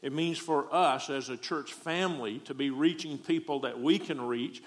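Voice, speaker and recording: A man's voice: on the high side, moderate pace. A middle-aged man from Texas with a Southern accent, preaching a folksy, joking sermon as a guest preacher.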